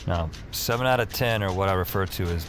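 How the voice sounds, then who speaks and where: An actor plays a jaded male night-shift phone operator in an audio drama. His voice is deep and dry, sardonic and a little hesitant, with music underneath. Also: brisk, natural voice. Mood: deadpan. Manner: deadpan, hesitant. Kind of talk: audio drama acting with noir-like narration.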